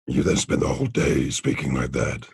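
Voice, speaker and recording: A man who is a voice actor, with a very deep gravelly voice, talking casually and performing game lines. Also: fairly even intonation, normal speed, a little mellow, dry recording, clean studio sound.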